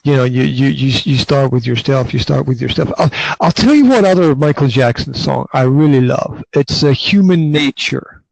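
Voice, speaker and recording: A man's voice: medium pitch, lively intonation, moderate pace, creaky, darkish tone, no echo, low-quality recording. A man in his forties hosting a casual interview, talking in bursts with many repeated words and restarts. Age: 40s. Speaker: podcast host.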